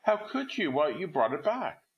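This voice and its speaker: A man's voice: a little high, sing-song, normal speed, dull audio, pitched above the performer's own voice. A man voicing a well-off young woman, stammering and incredulous, in a read-aloud story. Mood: shocked. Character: wealthy young woman, shocked and pitying.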